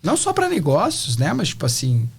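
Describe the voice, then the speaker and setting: A Brazilian man in his thirties gives a relaxed, reflective interview, full of fillers and little asides. His voice sits a bit higher than usual for a man, and he talks in an easy, conversational way. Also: brisk, vocal fry, slightly rough. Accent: Brazilian Portuguese. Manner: reflective, chatty, many fillers. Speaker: interview guest.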